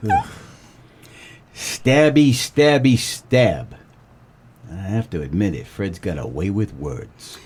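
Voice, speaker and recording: A man plays a down-on-his-luck gumshoe in a comic noir radio drama. The voice is rough and husky, the delivery deadpan and understated. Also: fairly low pitch, slow, long pauses, pitched below the performer's own voice, heavily acted. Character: Spike Slotnik, deadpan down-on-his-luck noir private eye. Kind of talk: comedic noir radio play acting.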